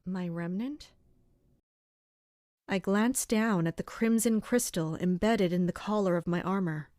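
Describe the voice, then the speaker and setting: Serious measured guard captain played by a woman, fantasy audio drama, really dry recording. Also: mid-range voice, a little mellow, no room sound, close to an everyday voice. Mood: uncertain, reflective. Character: dutiful female captain of an elite armored guard. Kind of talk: audio drama acting with first-person narration.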